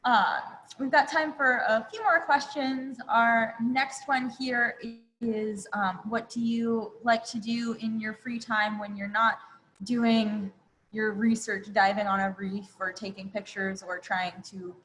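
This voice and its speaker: A woman's voice: on the high side, steady tone, takes it slowly, fairly bright tone. A woman hosting a web chat, friendly and laughing often as she asks questions. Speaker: host. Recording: long reverb, faint noise.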